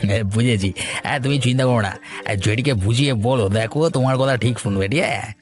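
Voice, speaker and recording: A man's voice: medium pitch, fast talker, soft background music, natural voice. A man voicing a chatty, teasing villager in a cartoon story, wheedling and eager to please. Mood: placating. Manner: wheedling, talkative, placating. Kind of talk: dramatized cartoon story dialogue.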